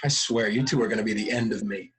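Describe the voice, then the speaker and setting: A man's voice: fairly low pitch, fairly even intonation, fast talker, fairly bright tone, soft background music, natural voice. A man playing a serious, long-suffering grandson with a dry, exasperated manner at a script reading. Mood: dry, annoyed. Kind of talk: script table read.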